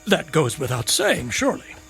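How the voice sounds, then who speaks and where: A man's voice: a little high, huge pitch swings, normal speed, creaky, fairly bright tone, exaggerated voice, poor audio. An actor playing an enigmatic traveling stranger, courteous, sly and philosophical as he probes with questions in an audio drama. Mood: wry, courteous. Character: enigmatic, wry, probing traveling stranger, man. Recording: music under the voice. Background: music.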